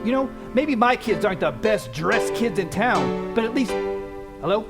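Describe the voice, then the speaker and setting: A man playing a desperate, short-tempered family man in an amateur radio drama, halting and stammering. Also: high-pitched, big pitch swings, loud music behind the voice. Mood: irritated, angry.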